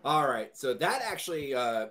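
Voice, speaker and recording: A man's voice: mid-range voice, very animated, normal speed, fairly bright tone. A man talking casually and enthusiastically as a panelist on a podcast. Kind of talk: casual chat.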